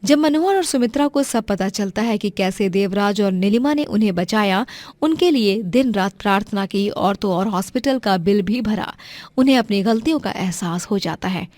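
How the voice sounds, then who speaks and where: A woman's voice: mid-range voice, animated, moderate pace, a little mellow, no room sound. A woman narrating a scripted moral story as its storyteller.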